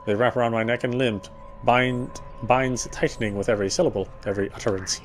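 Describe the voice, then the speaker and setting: Male narrator, mid-range, for first-person horror visual novel prose; measured read over a light music bed. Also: medium pitch, steady tone. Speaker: player reading the narration aloud.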